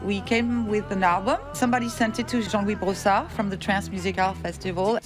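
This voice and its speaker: A woman's voice: medium pitch, fairly even intonation, fairly quick. A middle-aged woman telling their own story as a musician in a personal documentary narration. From France, of Tunisian background. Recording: music playing under the voice.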